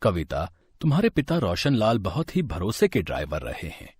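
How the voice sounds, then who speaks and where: An actor playing a kindly older uncle and official in a storybook tale, husky, measured and encouraging. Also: male voice, lowish voice, huge pitch swings, darkish tone, dry recording, heavily acted. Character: kindly older family-friend uncle, bus service official.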